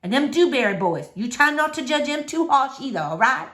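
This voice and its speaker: A woman reading a children's novel aloud, voicing a wise, kindly old woman in a folksy, gently firm manner. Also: sits high, sing-song, a little fast. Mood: gently instructing.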